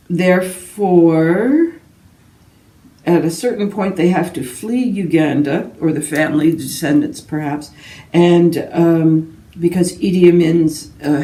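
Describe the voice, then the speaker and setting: Mellow, rambling woman in echoey room. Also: deep voice. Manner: rambling, self-interrupting asides. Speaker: book vlogger. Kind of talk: casual book chat to camera. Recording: roomy echo, distant from mic.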